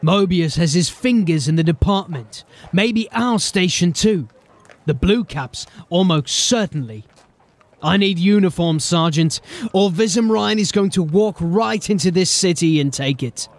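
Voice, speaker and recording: A man's voice: high-pitched, unhurried. A man playing a grim, determined city watch officer in a fantasy audio drama, speaking in a terse, commanding way.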